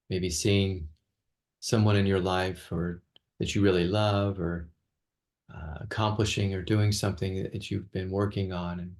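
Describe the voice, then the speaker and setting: A man leading an unscripted guided meditation as a teacher, speaking haltingly with long pauses and repeated words. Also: low voice, a little mellow.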